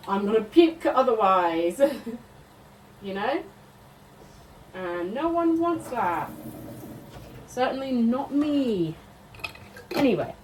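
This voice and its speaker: A woman's voice: on the high side, very animated, very slow, long pauses, a little mellow, slight background noise, poor audio. A British woman vlogging casually while she cooks, chatty and sweary, laughing often, with a congested, sniffly voice. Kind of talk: casual chat while cooking. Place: home kitchen.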